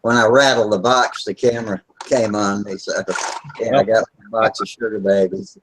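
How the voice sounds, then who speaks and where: Folksy man in his sixties, low voice. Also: normal speed, slight background noise, poor audio. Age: 60s. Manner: relaxed, rambling, folksy. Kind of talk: casual video hangout chat.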